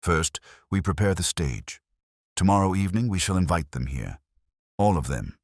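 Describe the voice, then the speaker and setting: A man's voice: low voice, lively intonation, no echo, clean studio sound. A man voicing an imperious, cerebral detective in a storybook, speaking formally and pompously. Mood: coolly confident. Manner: pompous, measured, formal wording.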